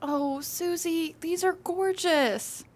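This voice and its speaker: A woman's voice: very high-pitched, slow. A young woman playing an eager, caring female college student in an audio drama. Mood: warm, eager. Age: young adult. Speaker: student actor.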